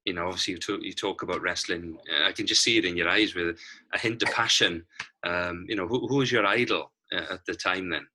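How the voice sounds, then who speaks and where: A man's voice: sits low, brisk, pushed and bright, a little hiss or hum. A man hosting a casual interview, encouraging and wordy, often repeating his phrases.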